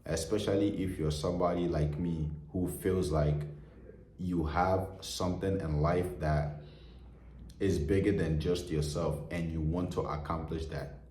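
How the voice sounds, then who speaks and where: A man's voice: low voice, steady tone, a little slow, mellow. A man in his twenties giving an earnest motivational heart-to-heart to camera, full of "you know" fillers. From Nigeria. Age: 20s. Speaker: vlogger. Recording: echoey room.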